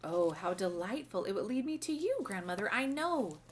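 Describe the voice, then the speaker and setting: A woman's voice: medium pitch, sing-song, normal speed, slight background noise, close to an everyday voice. A woman voicing a sweet, curious and polite little princess in a storybook read-aloud, exclaiming and asking wide-eyed questions. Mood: excited.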